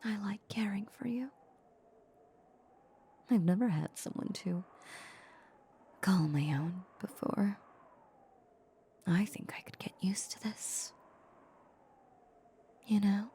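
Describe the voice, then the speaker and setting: Vampire countess, played by a woman: doting yet possessive, breathy whispered ASMR roleplay, dark mellow tone, very unhurried. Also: medium pitch, a little hiss or hum. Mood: tender, possessive. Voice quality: soft, breathy, largely whispered. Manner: slow, coaxing, intimate murmur. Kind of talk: intimate whispered ASMR roleplay audio drama.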